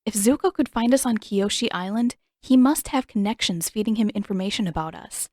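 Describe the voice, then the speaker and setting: Woman reading as a caring teen girl, firm and sensible, a little higher than her own tone, dry close sound. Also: brisk, darkish tone, no echo, natural voice. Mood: worried. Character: caring teenage girl, sensible older sister.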